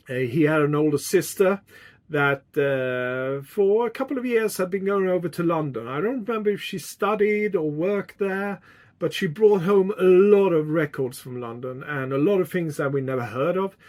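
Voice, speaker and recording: Higher-voiced middle-aged Swedish man chatting. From Sweden. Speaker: music vlogger. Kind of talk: casual chat to viewers, reminiscing.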